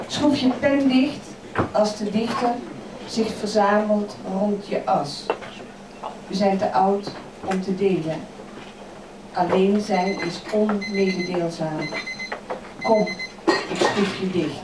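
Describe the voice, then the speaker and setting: A woman reading her poems slowly and deliberately at a microphone in a crowded old café. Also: mid-range voice, recorded from a distance, background noise. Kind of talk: poetry reading. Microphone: stand mic, filmed from across the room. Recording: echoey café filmed from afar, loud chatter.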